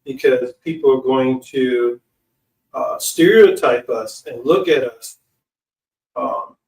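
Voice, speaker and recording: An American man telling personal stories casually, with an informal, slangy and halting delivery. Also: mid-range voice, sing-song, darkish tone. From United States. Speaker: online speaker and channel host. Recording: echoey room, distant from mic.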